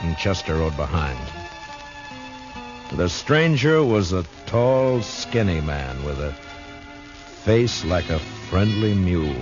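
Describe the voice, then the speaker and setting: A man playing a calm, measured frontier marshal who also narrates, in a 1950s radio drama. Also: low voice. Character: Matt Dillon, steady frontier marshal. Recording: dull lo-fi old radio recording with music.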